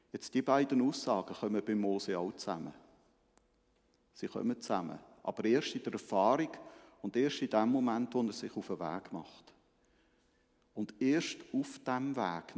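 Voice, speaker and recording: A man's voice: on the low side, darkish tone. A man giving a conversational sermon as a preacher, explaining things and often checking in with short tag questions. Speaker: Methodist preacher. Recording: echoey hall with long reverb.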